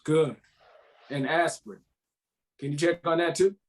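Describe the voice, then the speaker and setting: A weary police detective acted by a man in a crime thriller table read. The voice sits a little high for a man and carries a wry, grumbling, sardonic edge. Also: unhurried, lightly acted. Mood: businesslike, weary.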